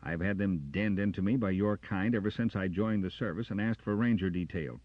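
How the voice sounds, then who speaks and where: A man's voice: low-pitched, brisk, dry recording, lo-fi sound, pitched above the performer's own voice. A man voicing a stubborn, defiant young male ranger sergeant in an audiobook, with a cold, cutting manner.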